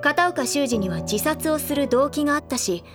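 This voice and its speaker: A woman's voice: high-pitched, expressive, normal speed, over music. An actress playing a confident, teasing schoolgirl painter in a Japanese audio drama, self-assured and playfully theatrical. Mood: composed, earnest.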